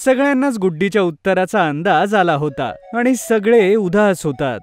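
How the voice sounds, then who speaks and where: A woman narrating a children's cartoon story in a gentle, even storytelling manner. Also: medium pitch, moderate pace, faint music behind.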